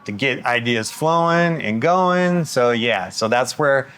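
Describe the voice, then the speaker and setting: Male filmmaker, mid-pitched with a sharp bright edge, casual vlog explainer. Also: animated, takes it slowly. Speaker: filmmaker, video creator.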